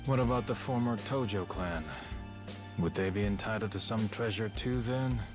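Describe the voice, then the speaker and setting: A man playing a frank, weary middle-aged yakuza boss in a video game, talking in gruff, matter-of-fact slang. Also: on the low side, moderate pace, lightly acted. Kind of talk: video game cutscene acting. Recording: loud music behind the voice.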